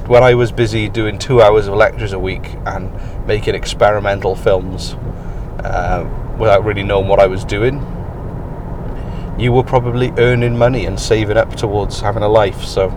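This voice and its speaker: A man with a croaky morning voice hosting a rambling solo podcast from his car on the way to work, with dry self-mocking asides. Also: on the low side, fairly even intonation, normal speed. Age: adult, past university. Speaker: podcast host. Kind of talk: casual solo podcast while driving. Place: inside a car on a commute. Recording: in-car recording with road noise. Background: car and road noise.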